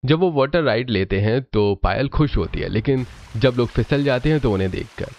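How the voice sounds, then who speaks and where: A man's voice: mid-range voice, lively intonation, moderate pace, a little mellow. A man narrating a scripted family cartoon story.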